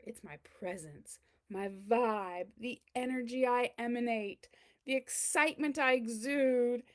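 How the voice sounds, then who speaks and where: A woman's voice: sits high, darkish tone. A woman voicing a boastful, pompous chunk of cheddar cheese in a theatrical storybook read-aloud. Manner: pompous, showy, theatrical delivery.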